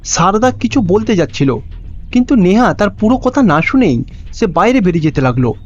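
A man's voice: high-pitched, sing-song, moderate pace, slight background noise. A man reading scripted storybook narration for a cartoon. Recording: dull lo-fi sound with music. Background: background music.

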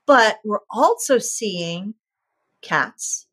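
A woman in her fifties, a veterinarian guest, explaining clearly in an interview and using vivid comparisons and repetition for emphasis. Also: mid-range voice, expressive, extremely slow, a bit bright.

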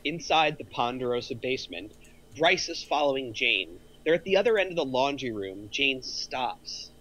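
A man's voice: medium pitch, animated, moderate pace, a bit bright. A middle-aged man reading out screenplay action lines as a narrator. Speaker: narrator of a script table read.

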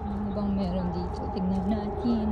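A woman's voice: mid-range voice, level tone, a little fast, lo-fi sound. A woman in her thirties with a Filipino accent, a native Tagalog speaker, chatting casually to a phone for a vlog. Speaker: family vlogger. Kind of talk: casual vlog chat mixing two languages. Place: outdoors at busy sights and inside a moving car. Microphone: phone at arm's length. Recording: loud outdoor and car noise.

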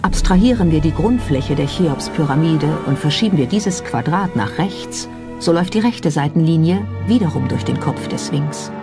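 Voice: medium pitch, lively intonation, moderate pace. A narrator reading a scripted documentary, presenting it as the narrator of the piece. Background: music behind the voice.

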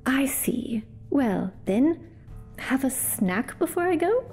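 A bold young tanner who talks his way out of trouble, wry and quick, as a woman puts him on. The voice is soft and mellow, only a little raised above her usual tone. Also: sits high, big pitch swings, breathy edge, faint music behind, voice pushed up, exaggerated voice.

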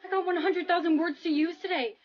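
A woman's voice: very high-pitched, steady tone, fast talker, dull audio. A woman playing an anxious, easily panicked teenage schoolgirl in a melodramatic comedic skit.

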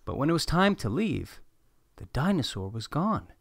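A man narrating a children's picture book aloud as a read-aloud host, in an animated, expressive storytelling manner. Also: a little high, normal speed, creaky, no room sound, clean studio sound.

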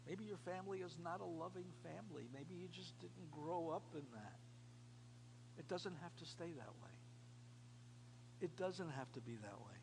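An American man in his fifties from New York, a pastor giving an earnest, emphatic sermon with repeated phrases and pauses. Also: fairly high pitch. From New York, United States. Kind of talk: sermon to a small congregation. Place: indoors, cafeteria used as a church hall. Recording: clearly noisy room recording with hum.

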